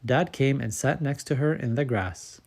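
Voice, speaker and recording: A man reads a picture book aloud for children. His mid-pitched voice is expressive and measured, shaped for storytelling, with each phrase set out carefully. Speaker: storybook reader and channel host. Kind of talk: scripted children's story narration.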